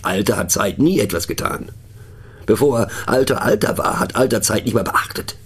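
Male radio play narrator: middle-aged, gravelly and husky, swinging intonation, wry, pensive mockery. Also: fairly low pitch, animated, full character voice. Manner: wry, pensive, halting, addressing a listener. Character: musing, mocking male narrator pondering abstract words. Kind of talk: conversational radio play monologue.

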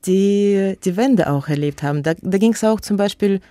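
A woman's voice: medium pitch, moderate pace, mellow, no echo, studio quality. A woman with a Russian accent, a native Russian speaker, answering radio interview questions about her museum work in a hesitant way, with many fillers and restarts. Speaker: museum curator and guest.

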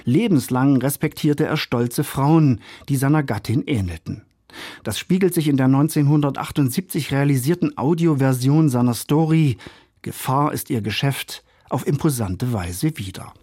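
A man's voice: medium pitch, normal speed, a little mellow, no room sound, clean studio sound. A man reading a scripted radio review in formal, literary phrasing. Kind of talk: scripted radio feature narration.

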